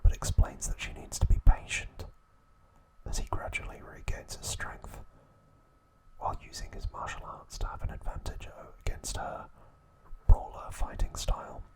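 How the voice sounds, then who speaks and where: A man narrating trivia as an ASMR host, in a slow, hushed, deliberate whisper with pauses between phrases. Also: rough recording.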